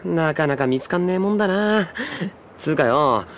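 A man playing a brash, money-hungry young undead man in an anime audio drama, talking in rough, bossy slang. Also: fairly high pitch, lively intonation, normal speed, a little hiss or hum. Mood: irritated, bossy.

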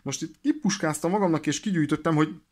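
A man explains things in an informal, halting way, thinking aloud as he presents a hands-on demonstration. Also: on the high side. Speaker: presenter of a radio equipment demonstration. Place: indoors at a desk.

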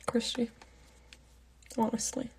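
A young woman in her late teens reading out fan comments and answering them in a soft, half-whispered voice, on a phone held at arm's length in her bedroom at night. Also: slow. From Pittsburgh, United States. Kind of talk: casual live Q&A chat.

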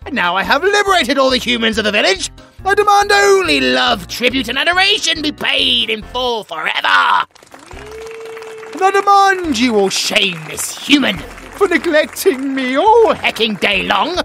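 A man's voice: very high-pitched, sing-song, normal speed, vocal fry, sharp tone, faint music behind, exaggerated voice. A British man voicing a bratty, self-important tiger warrior in a comedic audio roleplay, haughty, demanding and full of theatrical exclamations. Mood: haughty proud.

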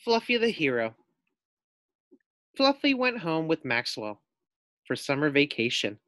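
A man's voice: on the high side, sing-song, measured pace, a bit bright, no echo, studio quality. A man with an American accent reading a children's story aloud like a teacher, putting questions to his young listeners. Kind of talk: expressive children's read-aloud narration.

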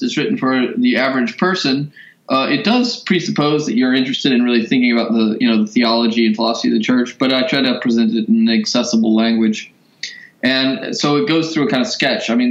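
An American man, a guest explaining things in a measured, articulate way in an interview. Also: mid-range voice, echoey room, dull audio. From Georgia, United States. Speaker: guest, priest and author.

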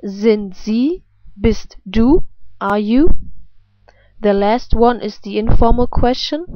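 A woman giving a scripted language lesson as a teacher, reading example phrases with careful, deliberate enunciation. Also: mid-range voice, no room sound, lo-fi sound.

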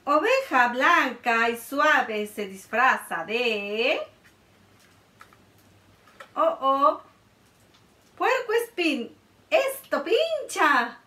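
Slow, lively woman reading a storybook. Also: high-pitched, roomy sound, recorded from a distance. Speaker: children's librarian. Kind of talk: reading a picture book aloud to children. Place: small room indoors, before a board.

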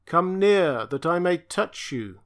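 A man's voice: sits high, lively intonation, takes it slowly, a bit bright, voice pushed up, full character voice. A man voicing an ancient, blind, tortured alien being that speaks in a raised, mournful, chanting way. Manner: lamenting, chanting, raised and pleading.

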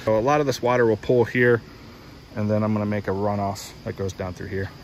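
A man's voice: lowish voice, flat delivery, fairly quick, slight background noise. An American man in his thirties giving a casual video update to viewers, recorded outdoors on a handheld phone. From United States, Ohio. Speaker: outdoors vlogger. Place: outdoors in woods. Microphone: phone held at arm's length.

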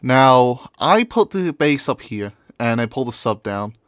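A man talks through a software tutorial in a casual, offhand manner. His voice is recorded dry and close, with hardly any room around it. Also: mid-range voice, very animated, measured pace, no room sound. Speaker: tutorial presenter.

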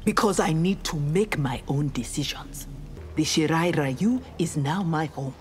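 Low-voiced, defiant ninja warrior. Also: female voice, measured pace. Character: defiant, honorable armored female ninja warrior. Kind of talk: scripted video game dialogue. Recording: music behind the voice.

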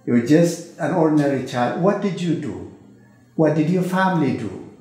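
A man interviewing an elder, gently asking about his early life while searching for words. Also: a little high, expressive, normal speed. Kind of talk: oral history interview questions. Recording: very echoey room with faint music.

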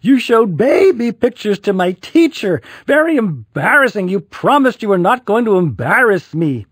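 A man's voice: high voice, sing-song, normal speed, a bit bright, breathy edge, no echo, studio quality, pitched above the performer's own voice, heavily acted. A man voicing an embarrassed young boy in a storybook, whiny, strained and exaggerated in his protests. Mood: indignant.

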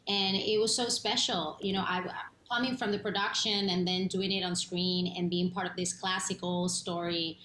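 A woman in her thirties from Puerto Rico, an actress talking excitedly about her film on a video call from home. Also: lowish voice, moderate pace. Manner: effusive, gushing. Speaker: actress and singer guest. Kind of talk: remote TV interview. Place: home room, indoors. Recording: echoey room, faint hum.

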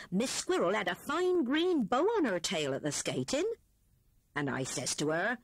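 A woman voicing an old male hedgehog in a storybook, homely and rambling, lower and slower than her narration. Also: husky, dry recording, full character voice.

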